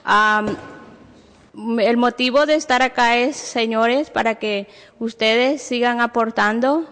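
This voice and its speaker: A woman's voice: on the high side, measured pace, fairly bright tone. A woman from Honduras with a Honduran Spanish accent, making a personal plea at a public hearing. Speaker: single mother giving public testimony. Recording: echoey hall, long reverb.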